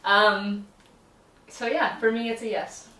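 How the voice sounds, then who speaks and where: Young woman, vlog co-host, relaxed and laughing; roomy echo, mic set back. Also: medium pitch, takes it slowly. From Canada. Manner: easygoing, laughs between lines. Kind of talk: casual chat. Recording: echoey room, mic at a distance.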